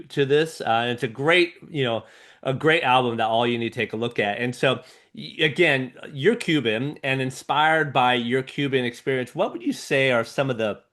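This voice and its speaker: A middle-aged man hosting an interview, speaking in a halting way with many restarts. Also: mid-range voice, fairly bright tone. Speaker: interview host. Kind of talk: conversational interview.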